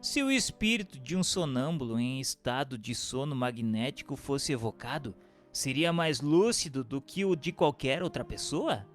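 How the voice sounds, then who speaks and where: A man reads questions and notes as scripted radio drama narration, in a formal, deliberate manner. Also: mid-range voice, lively intonation. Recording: long reverberant hall sound. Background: music.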